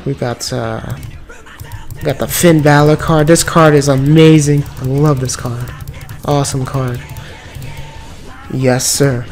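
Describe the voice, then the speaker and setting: A man with a slightly raspy voice from a cold, giving upbeat, rambling commentary over mobile card game play. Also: on the high side, a little mellow. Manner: upbeat, filler-heavy running commentary. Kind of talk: casual gameplay commentary. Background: background music.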